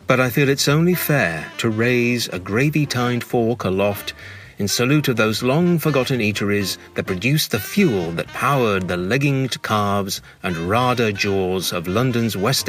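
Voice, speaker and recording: A British man with a slightly low voice narrates a comic memoir. He is wry and mock-grandiose, relishing every ornate phrase, with music playing behind him. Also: a bit bright. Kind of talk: scripted humorous memoir narration.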